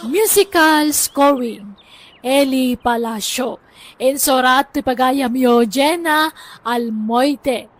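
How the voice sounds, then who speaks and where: High-voiced woman narrating drama credits. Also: takes it slowly.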